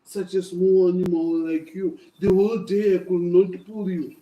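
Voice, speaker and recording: A woman's voice: lowish voice, flat delivery, normal speed, warm tone, roomy sound, distant mic, lo-fi sound, pitched below the performer's own voice, lightly acted. A woman voicing a big, tired, baffled animal in a storybook tale, grudging and amazed. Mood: baffled, defeated.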